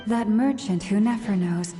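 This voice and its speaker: A woman playing a calm, serious leader in a video game, speaking in a measured, composed way. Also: mid-range voice, faint music behind, close to an everyday voice. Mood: resolute.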